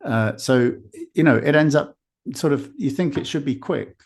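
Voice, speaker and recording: A man's voice: a little high, normal speed, gravelly. Man hosting a conversational interview. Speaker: podcast host.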